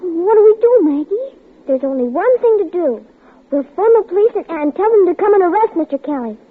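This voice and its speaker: A woman's voice: very high-pitched, animated, a little fast. An actress playing a stubborn, anxious young girl in an old radio drama, stammering and pleading as she narrates. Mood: urgent. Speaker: child lead and narrator. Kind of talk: 1940s radio acting. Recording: tinny, hissy old radio broadcast.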